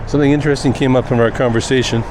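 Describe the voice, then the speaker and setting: A man talking off the cuff in a personal vlog, reflective and rambling with thoughtful pauses. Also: medium pitch, steady tone. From Canada. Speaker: daily vlogger. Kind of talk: unscripted personal vlog monologue. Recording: noisy outdoor recording with vehicle sounds.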